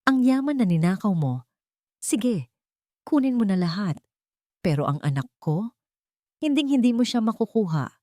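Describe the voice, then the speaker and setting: A devoted father , voiced by a woman, speaks with calm resolve. He is earnest and protective, an audio drama hero heard in a very dry recording. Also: mid-range voice, normal speed, pauses often, dry recording, pitched above the performer's own voice, lightly acted. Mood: sorrowful, resolute.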